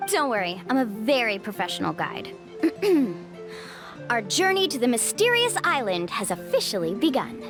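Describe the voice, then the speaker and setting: Casting: bubbly, confident young guide, lively pitch, light music behind. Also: female voice, moderate pace. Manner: upbeat, playful, confident. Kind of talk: video game voice acting. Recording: game audio with music behind.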